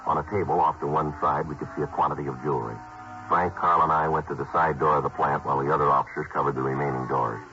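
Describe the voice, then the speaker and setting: An actor playing a terse male police detective in a 1950s radio drama, narrating his case in a clipped, flat, matter-of-fact way. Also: rumbling low, fast talker, music in the background. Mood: flat narration. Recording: muffled old radio broadcast with hiss.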